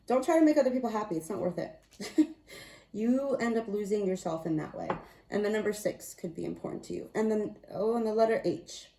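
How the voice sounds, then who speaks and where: A woman reads tarot cards in a relaxed, chatty way, thinking aloud and correcting herself as she goes. Her voice sits low and warm, with a slight rasp. The room around her is roomy and echoey. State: slightly raspy voice. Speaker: tarot card reader. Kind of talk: casual spiritual card reading.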